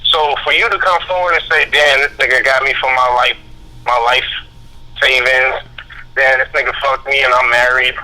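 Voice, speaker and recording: A man speaking as a guest on a talk radio show, heated and profane, telling his story fast and forcefully. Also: medium pitch, fairly even intonation. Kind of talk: angry call-in radio talk. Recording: phone line.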